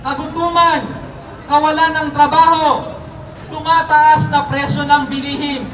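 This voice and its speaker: A Filipino man gives a fiery rally speech in a very high, forceful voice. He is emphatic and rhetorical, hammering out points one at a time. An echoing loudspeaker carries it over faint crowd noise. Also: distant mic. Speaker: protest rally speaker. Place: outdoor street rally. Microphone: public address microphone.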